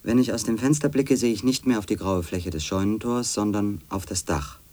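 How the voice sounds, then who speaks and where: An actor playing a brooding, insistent former magazine editor in a measured, introspective German radio play style. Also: male voice, fairly low pitch, a little mellow, lightly acted. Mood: brooding, observant. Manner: measured, literary, introspective narration.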